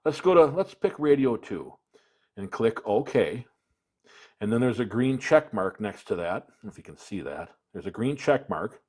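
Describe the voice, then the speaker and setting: A man's voice: lowish voice, big pitch swings, normal speed, darkish tone. A man giving a casual hands-on product demonstration, explaining things plainly and step by step. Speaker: product reviewer, video presenter.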